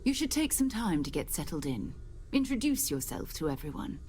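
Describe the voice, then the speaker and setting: A woman's voice: on the low side, very animated, normal speed, darkish tone, slight background noise. An actress playing a confident, focused woman who leads space explorers in a video game, composed and encouraging. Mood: assured, welcoming. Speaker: leader of an explorers' group. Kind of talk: video game character dialogue.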